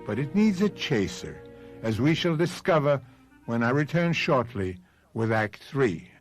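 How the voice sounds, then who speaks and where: A man narrates a scripted radio mystery as its host. His phrasing is theatrical and wry, with a mid-pitched voice that rises and falls dramatically. Music plays clearly behind him. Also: sing-song, measured pace.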